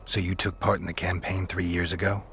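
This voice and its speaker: An actor playing a stern, world-weary monster hunter in a video game, speaking in a terse deadpan. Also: male voice, sits low, fairly quick, a little hiss or hum. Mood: matter-of-fact.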